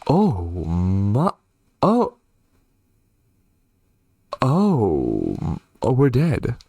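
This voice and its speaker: Theatrical man with very animated intonation. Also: mid-range voice, drawn-out words, gravelly, breathy edge, no echo. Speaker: gaming video host. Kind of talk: horror game playthrough commentary and dramatic reading.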